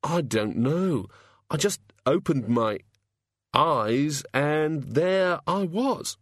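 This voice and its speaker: A queasy, pitiful hangover god, voiced by a man groans his way through slow, halting sentences. The voice sits higher than the reader's own and drags on the words, and it is recorded dry and close. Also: lively intonation, no room sound, heavily acted. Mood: groaning.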